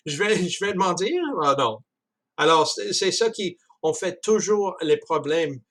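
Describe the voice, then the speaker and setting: A man with a Canadian French accent talking casually about a book, halting often with restarts and chuckles. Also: sits high, fairly bright tone. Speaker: speaker discussing a book. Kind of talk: casual spoken commentary.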